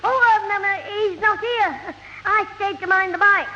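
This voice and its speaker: An actor playing a cheeky, scheming schoolboy in a radio sitcom, with a Northern English accent and a mock-innocent, quick-witted manner. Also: male voice, very high voice, pushed and bright, big-room sound, heavily acted. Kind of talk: 1970 radio sitcom acting. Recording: muffled old radio broadcast, hollow, hissy.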